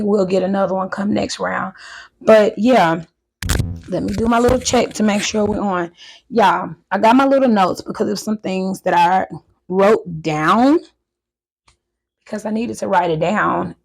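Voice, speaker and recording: A woman in her thirties chatting casually and a bit nervously to her viewers, her voice hoarse from recently losing it. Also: mid-range voice. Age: 30s. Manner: chatty, nervous, talks straight to viewers as "y'all". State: voice recovering from loss, hoarse. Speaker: vlogger. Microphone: handheld wireless mic.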